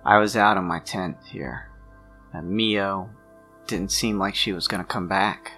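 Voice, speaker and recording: Troubled quiet loner played by a man, low and gravelly, halting, subdued, guilt-ridden, for tabletop roleplay. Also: steady tone, faint music behind, full character voice. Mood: haunted, anxious. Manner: halting, subdued, trailing off in fragments.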